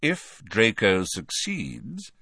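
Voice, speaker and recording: A man reading an audiobook, voicing a cold, guarded middle-aged wizard who speaks flatly and quietly. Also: lowish voice, gravelly, slightly rough, no room sound, clean studio sound, heavily acted. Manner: flat, quiet, measured.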